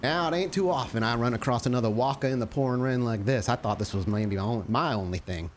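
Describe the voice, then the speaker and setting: A man's voice: medium pitch, fast, a little hiss or hum, natural voice. A man voicing a folksy, nosy, chummy older-uncle type in a tabletop game, with a teasing drawl. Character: folksy nosy man who calls himself Uncle Lester.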